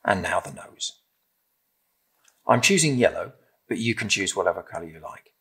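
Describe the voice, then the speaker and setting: British man, middle-aged, husky, animated intonation, craft presenter, gentle and encouraging, simple step-by-step instructions. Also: low voice, normal speed. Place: indoor room with timber-framed walls, at a table.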